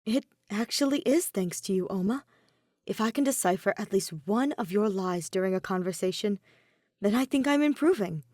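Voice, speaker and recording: A woman voicing a shy, modest teenage boy detective with a quiet, hesitant manner, in a fan fiction audiobook. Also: mid-range voice, expressive, moderate pace, no echo, studio quality, natural voice. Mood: earnest, flustered.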